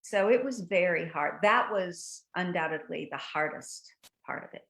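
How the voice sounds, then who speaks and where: A woman's voice: fairly low pitch, moderate pace, gravelly. Chatty older woman telling stories. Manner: lively storyteller, laughs often. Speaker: guest actress. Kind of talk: casual interview chat.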